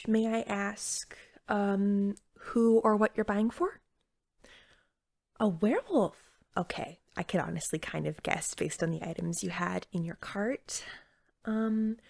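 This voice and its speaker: A woman performs an ASMR roleplay as a friendly, eager cashier in a magical pet store. Her soft voice has a noticeable creak and often slips toward a whisper. She is chatty and helpful, with a slightly awkward customer-service manner. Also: medium pitch.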